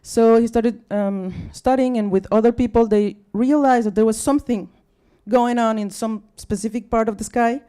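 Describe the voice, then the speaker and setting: A woman's voice: fairly high pitch, fairly even intonation, normal speed, few pauses, mellow, smooth. A young woman from Mexico with a Spanish accent, speaking English as a second language, giving a tired, chatty, apologetic talk to a live audience. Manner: chatty and apologetic, with many fillers and restarts. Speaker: guest speaker at a science talk night. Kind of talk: casual, self-deprecating live talk. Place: bar or event room with a live audience.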